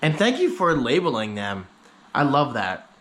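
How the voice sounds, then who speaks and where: A man's voice: medium pitch, huge pitch swings, moderate pace, a bit bright. Chatty, slightly congested man vlogging. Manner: chatty, upbeat, rambling aside-filled talk. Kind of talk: casual daily vlog chat to camera. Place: at home, indoors.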